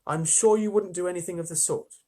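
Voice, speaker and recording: A man's voice: sits high, fairly even intonation, a little fast, darkish tone, pitched above the performer's own voice. A man voicing a kind, innocent little girl in a story reading, earnest, polite and questioning. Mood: earnest, reassuring.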